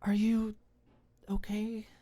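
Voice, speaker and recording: A woman voicing a principled, protective young woman in an audio drama, emphatic and quick to show feeling. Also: medium pitch, completely flat delivery, mellow, dry recording, pitched above the performer's own voice. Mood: sympathetic, apologetic. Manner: firm, exclaiming, emphatic.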